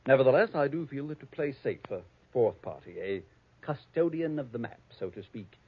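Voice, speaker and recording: An actor playing a prim, conniving, avaricious heir, speaking formally and precisely in 1940s radio style. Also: male voice, mid-range voice, expressive, normal speed, slightly rough. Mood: precise, superior. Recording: thin, phone-like old radio recording.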